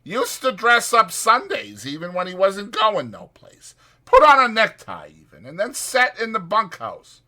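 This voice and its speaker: A man's voice: high voice, wildly swooping pitch, measured pace, pushed and bright, voice pushed up, heavily acted. A man voicing a chatty old ranch swamper with rambling, folksy speech for a dramatized audiobook. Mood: gossipy, insistent.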